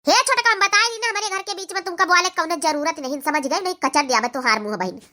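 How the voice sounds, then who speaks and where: A woman's voice: very high-pitched, quick pace, pushed and bright. A woman voicing a spiteful, complaining village in-law with an Awadhi accent in a comedy cartoon, talking snappishly.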